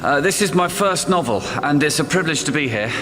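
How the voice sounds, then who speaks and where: A man's voice: a little high, expressive, brisk, fairly bright tone, long reverb tail, slight background noise. An actor playing a timid, bewildered man in a radio drama, hesitant and pleading. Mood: nervous, awed. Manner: hesitant, stammering.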